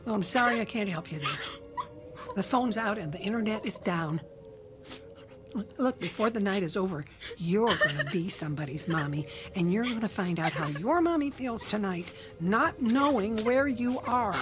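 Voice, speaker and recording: A woman's voice: mid-range voice, animated, normal speed, natural voice. An actress playing a middle-aged mother who lives alone, curt and easily flustered but kindly underneath, in a naturalistic screen drama. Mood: reassuring.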